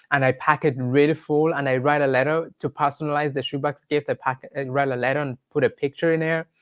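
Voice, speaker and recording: A man's voice: mid-range voice, dry recording. A man with a Rwandan accent shares his personal testimony as an interview guest, speaking in an earnest, devout storytelling way.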